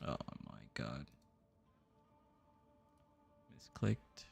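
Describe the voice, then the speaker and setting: A man's voice: rumbling low, very animated, extremely slow, vocal fry, a little mellow, soft background music. A young adult man from the US East Coast, musing aloud and answering chat during a casual game stream. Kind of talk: casual live-stream chat while playing.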